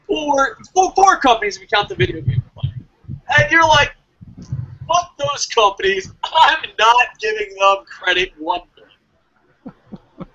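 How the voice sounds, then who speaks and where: Animated high-pitched man hosting a podcast. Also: unhurried, pauses often, highs cut off. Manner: profane, informal. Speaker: podcast host. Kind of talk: casual wrestling review chat.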